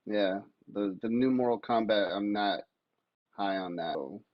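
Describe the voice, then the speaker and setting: A man's voice: fairly low pitch, a little slow, no room sound. A man chatting casually as a podcast panelist in a blunt, salty, informal way, on a slightly muffled recording. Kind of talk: casual chat. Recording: slightly dull, muffled mic sound.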